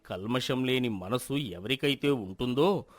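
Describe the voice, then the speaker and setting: A man voicing a respected village teacher in a moral story, wise and concerned, gently chiding and counselling in a measured way. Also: mid-range voice, dry recording, lightly acted. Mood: calm, counselling. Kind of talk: acted moral-story dialogue.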